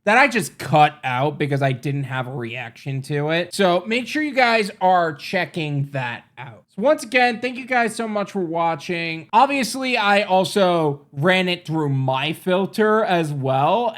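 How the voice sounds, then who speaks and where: A man's voice: a little high, a bit bright. A young man with a New York accent hosting a reaction video, musing aloud with candid rhetorical questions. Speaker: gig-economy channel host. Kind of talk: reaction commentary.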